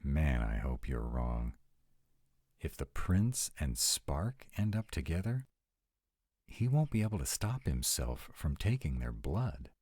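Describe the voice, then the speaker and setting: Man acting a very deep-voiced sarcastic vampire. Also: takes it slowly, breathy edge, no echo, studio quality, exaggerated voice. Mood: worried, intimate. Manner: sarcastic, expressive, conversational. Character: sarcastic young-at-heart male vampire, nearly two hundred, anxious. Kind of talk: intimate one-sided roleplay audio acting.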